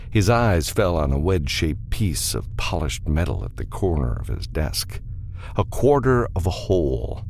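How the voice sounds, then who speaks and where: A male audiobook narrator with a very deep, creaky voice reads fiction from a script. His intonation is lively, moving freely up and down. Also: slight background noise.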